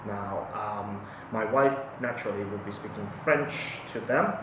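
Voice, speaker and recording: A man in his forties from Hong Kong, a native Cantonese speaker, talking unscripted about his family and often pausing, restarting and filling with "um" and "like". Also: on the low side, recorded from a distance, noisy background. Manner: hesitant, many restarts and fillers. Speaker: parent sharing his experience. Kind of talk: unscripted talk to camera. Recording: echoey room, mic set back.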